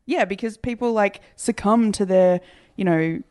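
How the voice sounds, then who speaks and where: A woman's voice: medium pitch, a little slow, darkish tone. A young woman co-hosting a podcast in casual chat, dry and teasing, with hesitant fillers. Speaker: podcast co-host.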